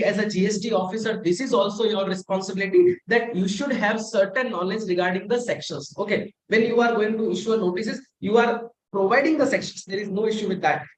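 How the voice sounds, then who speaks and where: Indian man teaching a tax webinar. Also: high voice. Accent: Indian English. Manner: explains step by step with many repetitions, mixing in Hindi. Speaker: webinar instructor. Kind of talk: live online tax lecture. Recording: echoey room sound.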